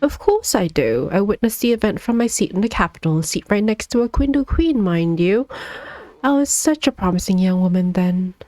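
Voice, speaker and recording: A woman's voice: mid-range voice, animated, brisk, darkish tone, no room sound, natural voice, rough recording. A woman puts on a haughty older lady of the court from a fantasy game. She is boastful and nostalgic, and the sound is dry and close. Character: snooty, nostalgic older noblewoman from the royal court.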